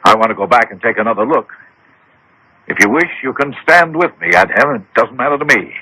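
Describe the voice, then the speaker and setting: An actor playing a headstrong, agitated gentleman in a radio drama, speaking insistently with dramatic, halting exclamations. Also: medium pitch, lively intonation, breathy edge, poor audio. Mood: shaken, insistent. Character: headstrong, agitated gentleman demanding to know his fate. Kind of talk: 1970s-80s radio drama acting. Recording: old radio broadcast, faint noise.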